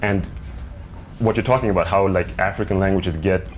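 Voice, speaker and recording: A man from Sweden whose first language is Swedish speaks earnestly, groping for words with frequent fillers. His voice sits on the low side. Faint hiss lies behind it. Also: fairly even intonation, normal speed, poor audio. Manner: earnest, searching for words, many "like" and "you know". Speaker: interview guest, family member. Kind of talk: casual interview conversation.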